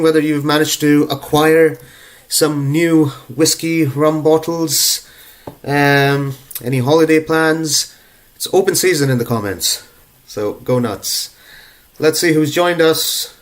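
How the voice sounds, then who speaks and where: A young adult man with a cold, hosting a live online prize draw in a casual, chatty way with plenty of ums and uhs. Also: on the high side. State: stuffed up with a cold. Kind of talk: casual live-stream chat.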